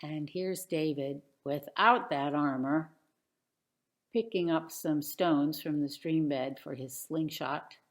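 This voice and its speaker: A woman telling a children's bedtime story in an expressive, theatrical and deliberate way. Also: low-pitched, echoey room. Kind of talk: dramatic bedtime story narration.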